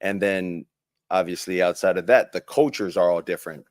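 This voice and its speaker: A man from Hawaii answering an interview question, halting and searching for his words. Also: deep voice, dry recording, studio quality. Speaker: football player in interview.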